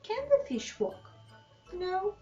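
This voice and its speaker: A woman reading a children's bedtime story aloud in an expressive, deliberate way, with asides to young listeners. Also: very high voice. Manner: slow, deliberate, animated phrasing with questions to the child listeners. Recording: slightly dull, lo-fi sound with music.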